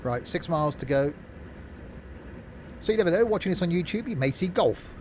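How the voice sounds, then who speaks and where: A man's voice: expressive, brisk, a little mellow. A man chatting casually and jokily as he live-streams a game, replying to viewers in an informal way. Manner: informal, jokey, chatty asides. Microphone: streaming microphone through a mixing desk. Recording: constant background noise, vehicle rumble.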